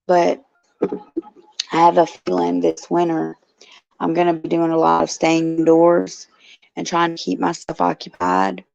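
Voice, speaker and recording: A woman hosting her show with a raspy, gruff voice, chatting in a folksy way and answering viewers by name from a care facility. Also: deep voice, measured pace, long pauses, a little mellow, no room sound. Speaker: show host. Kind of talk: casual chat, answering viewers. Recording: dull lo-fi, dry sound.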